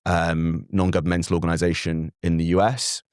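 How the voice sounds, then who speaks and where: A young man, a native Farsi speaker raised in Britain, answering as a guest analyst in an earnest, measured way with frequent fillers and restarts. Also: deep voice, flat delivery, a little mellow, clear, resonant tone, no room sound, clean studio sound. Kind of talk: interview answers.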